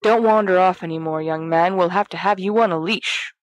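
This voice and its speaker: A woman voicing a brash, excitable fourteen-year-old schoolboy in an audio fiction reading, teasing and bossy in manner. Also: fairly low pitch, fairly quick, dry recording, lightly acted.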